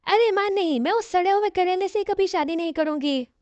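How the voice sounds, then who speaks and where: A woman plays a stubborn young cartoon college girl with a high-pitched voice. She is whiny and quick to argue. The recording is dry and slightly dull. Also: darkish tone, no room sound. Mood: defiant. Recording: dull, lo-fi audio.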